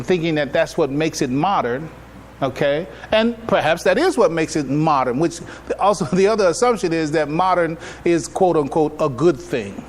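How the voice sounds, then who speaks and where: A man giving a religious lecture as an imam, conversational and halting, repeating phrases and checking in with his listeners. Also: fairly high pitch. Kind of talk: religious lecture, explaining to an audience.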